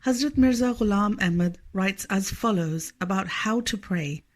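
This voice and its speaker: A woman reading a scripted scripture study aloud in a measured, formal manner. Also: medium pitch. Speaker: reader of a religious study text.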